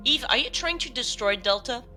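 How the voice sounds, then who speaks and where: A woman's voice: a little high, lively intonation, brisk, sharp tone, faint music behind. A woman playing a doubtful, questioning team member in an audio drama, searching for her words in natural, improvised-sounding dialogue. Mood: probing, suspicious.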